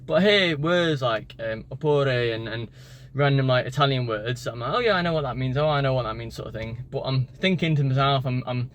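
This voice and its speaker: A man's voice: mid-range voice, brisk, hardly any pauses, fairly bright tone. A man, a native English speaker, talking casually in a vlog about learning languages, tired after the gym and rambling with many fillers and restarts. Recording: street and car noise behind.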